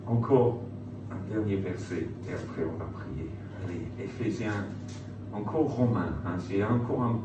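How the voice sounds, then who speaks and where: Animated man preaching in a roomy space. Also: mid-range voice. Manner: emphatic, rhetorical questions, repeats phrases. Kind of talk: evangelical sermon. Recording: roomy, distant mic, loud background noise.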